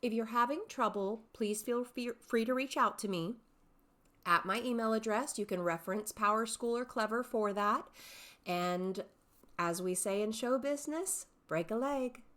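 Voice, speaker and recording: A woman drama teacher giving instructions and narrating, with deliberate emphasis. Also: fairly high pitch, fairly bright tone. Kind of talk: instructional talk and poem narration.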